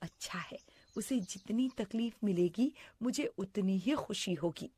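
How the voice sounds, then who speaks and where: A woman's voice: medium pitch, a little slow, warm tone, a little hiss or hum, close to an everyday voice. An actress playing a stepmother in a children's cartoon story with a dramatic manner. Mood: spiteful. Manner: dramatic, stammering. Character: spiteful stepmother, cowardly, later remorseful.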